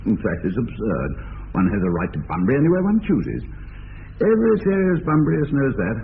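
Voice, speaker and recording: An actor playing a witty, idle young dandy in a classic radio comedy, languid and teasing. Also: male voice, medium pitch, sing-song, brisk, vocal fry. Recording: tinny lo-fi radio broadcast with hiss.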